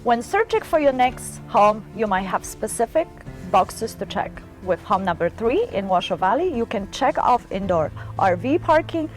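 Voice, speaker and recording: A woman from Poland with a Polish accent, a real estate agent reading home listings on a radio show in a measured, promotional way. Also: medium pitch, very animated, faint music behind.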